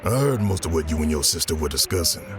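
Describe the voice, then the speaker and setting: An actor playing a gruff, commanding pirate sailor with a terse, measured manner in an audio drama. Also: male voice, very deep voice, animated, over music. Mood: dryly amused.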